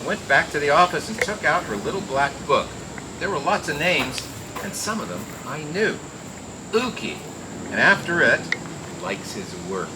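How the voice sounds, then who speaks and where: An actor playing a wisecracking, cool private detective who narrates in slangy old radio noir style. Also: male voice, fairly high pitch, sing-song, normal speed. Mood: wry, cool. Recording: noisy old radio drama recording.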